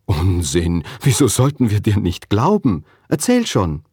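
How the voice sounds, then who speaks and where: A man's voice: on the low side, huge pitch swings, measured pace, dark tone, husky, dry recording, studio quality, full character voice. A man voicing a puzzled, sceptical father with a questioning manner in a children's storybook reading. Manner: questioning, incredulous.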